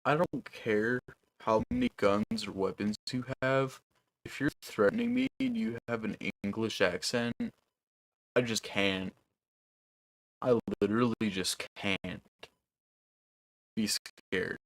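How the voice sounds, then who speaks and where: A man's voice: on the low side, expressive, slow, creaky, long pauses, dry recording, rough recording. A young man producing music on a livestream, chatting loosely with his viewers in a rambling, casual way. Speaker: music producer streaming live.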